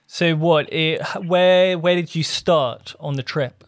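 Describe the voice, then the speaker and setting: A man hosting a podcast, giving a measured, welcoming presenter delivery in interviews and a scripted intro. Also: fairly high pitch, dry recording. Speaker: podcast host.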